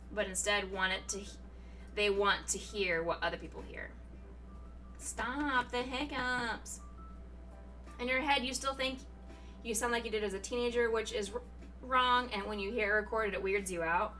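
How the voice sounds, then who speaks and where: Bright young woman streamer, slightly off-mic. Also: far from the mic. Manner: chatty and playful, swings into high surprised exclamations. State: hiccuping. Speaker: Twitch streamer. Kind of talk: casual live-stream chat, reading chat messages. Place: home room. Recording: voice a little off-mic, music behind.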